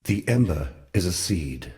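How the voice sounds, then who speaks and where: A man's voice: low-pitched, big pitch swings, measured pace, warm tone. A man voicing an ancient, sorrowful god in a fantasy game, speaking in a solemn, eloquent and theatrical way. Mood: brooding, ominous. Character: ancient male god, grave, sorrowful, brooding mentor. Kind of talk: scripted fantasy game monologue.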